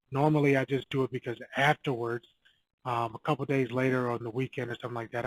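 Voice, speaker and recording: A man's voice: mid-range voice, poor audio. A man records a casual advice video, speaking in a mid-range voice. He coaches in a direct, no-nonsense way and comes back to the same points again and again. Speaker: real estate agent giving cold calling advice.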